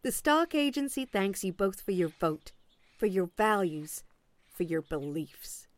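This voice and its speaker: An actress playing a polite, measured and officious government agent in an audio play. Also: female voice, mid-range voice, sing-song, no echo. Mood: solemn, zealous. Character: polite, formal woman government agent on doorstep duty.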